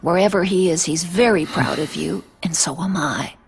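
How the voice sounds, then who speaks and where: Game drama role: caring older aunt, widowed, raspy-edged voice, earnest, motherly, firm when needed. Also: fairly low pitch, moderate pace, exaggerated voice. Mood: reassuring. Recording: music behind.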